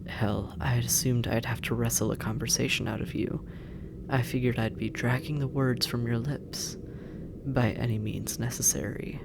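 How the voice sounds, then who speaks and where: An actor playing a sardonic, possessive demon captor in an intimate audio roleplay, musing and teasing, often dropping into breathy murmurs. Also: medium pitch, background noise. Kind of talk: intimate scripted audio roleplay addressed to listener.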